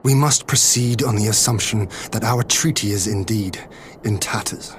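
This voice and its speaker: A man's voice: lowish voice, a little hiss or hum, lightly acted. A man playing a noble young lord in a video game cutscene, speaking formally and resolutely. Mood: grave, courteous. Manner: formal, measured, stately.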